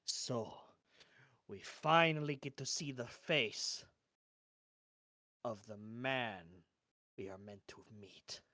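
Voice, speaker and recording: A man voicing an arrogant, smug knight with boundless ambition, speaking in a deliberate, theatrical way. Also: medium pitch, lively intonation, sharp tone, no room sound, clean studio sound, pitched below the performer's own voice. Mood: haughty menace.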